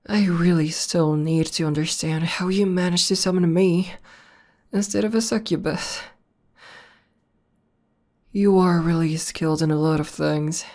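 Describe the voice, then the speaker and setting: A woman playing an affectionate, teasing tomboy demon girlfriend in an intimate ASMR roleplay, speaking softly with hesitant pauses. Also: on the low side. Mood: fond teasing. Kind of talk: ASMR roleplay audio spoken to the listener.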